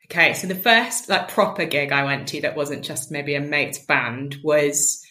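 A woman's voice: low voice, pushed and bright. A woman in her late thirties from rural mid Wales, chatting casually and informally as a podcast guest. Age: late 30s. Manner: chatty, informal, quick to laugh. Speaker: interview guest, charity founder.

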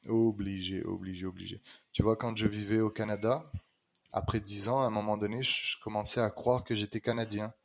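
Low-voiced man from Réunion. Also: a little fast, vocal fry, dull audio. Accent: Réunionese French with some Québécois color. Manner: lively, emphatic, repeats words for stress. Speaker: guest. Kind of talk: casual conversation.

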